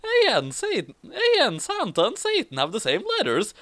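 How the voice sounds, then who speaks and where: A man's voice: very high-pitched, wildly swooping pitch, normal speed, fairly bright tone, pitched above the performer's own voice, full character voice. A man voicing a melodramatic, ranting, dim-witted young male villain in an amateur comedic story reading, with theatrical exclamations. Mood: baffled, ranting.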